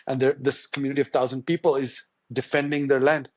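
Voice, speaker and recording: A man's voice: medium pitch, flat delivery, quick pace, dry recording. A man from India whose first language is Tamil, a journalist answering interview questions in a thoughtful, searching way with many restarts. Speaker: journalist guest.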